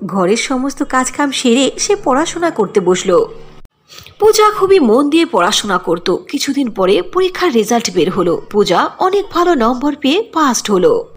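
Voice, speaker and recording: High, lively woman storyteller. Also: moderate pace. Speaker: narrator. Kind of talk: scripted fairy-tale narration.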